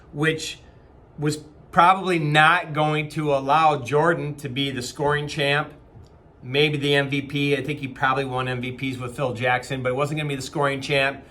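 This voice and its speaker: A man in his forties speaks earnestly to the camera from a small office, his voice bright and forceful and sitting a bit high. He talks casually and motivationally, with plenty of ums, uhs and restarts as he works through his points. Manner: earnest, conversational, frequent fillers and restarts. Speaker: company leader. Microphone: webcam at desk distance.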